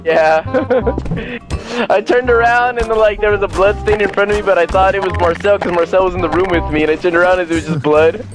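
Excitable high-voiced young gamer. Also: male voice, brisk, music in the background. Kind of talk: casual gaming chat with friends.

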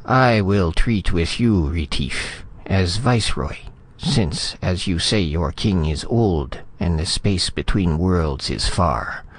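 A man's voice: low voice, expressive, slow, breathy edge, exaggerated voice. A man playing a boisterous, lecherous alien king in a storybook reading, with grandly formal, jovial speech. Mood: grand, imperious.

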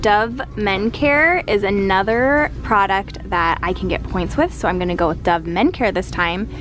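A woman in her thirties chatting casually while she films her grocery shopping in a large store, reading out prices. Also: medium pitch. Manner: chatty, animated, pricing items aloud. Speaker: family vlogger. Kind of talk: casual grocery haul vlog. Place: large store aisle indoors. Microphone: handheld camera at arm's length.

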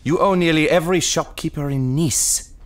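A man's voice: a little high, lightly acted. A man playing a proud, stubborn working husband in an audio drama, speaking firmly and earnestly. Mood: proud, resolute. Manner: earnest, firm, measured. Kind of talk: full-cast audio drama acting.

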